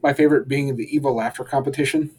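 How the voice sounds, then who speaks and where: Husky-voiced man in casual chat. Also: mid-range voice, fast talker. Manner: relaxed, rambling, chuckles often. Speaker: podcast co-host.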